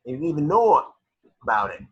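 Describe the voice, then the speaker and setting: A man's voice: fairly high pitch, sing-song, fairly quick, slight background noise. Man playing a blunt engineer, muffled audio. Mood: blunt, agitated. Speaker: table read actor. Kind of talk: amateur script table read.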